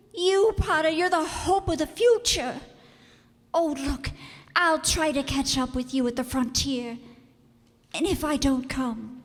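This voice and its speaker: Woman as a very high-pitched melodramatic young heroine. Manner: melodramatic, pleading, emphatic. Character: anguished, defiant young heroine in love, self-sacrificing. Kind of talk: old-time radio play acting on stage. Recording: echoey hall stage performance.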